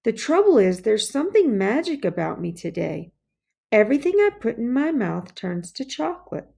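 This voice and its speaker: A woman's voice: fairly high pitch, big pitch swings, normal speed, vocal fry, warm tone, slightly rough, voice pushed up, heavily acted. A woman voicing an earnest, aggrieved young schoolboy in a children's storybook, pleading and insistent. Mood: sorry, protesting.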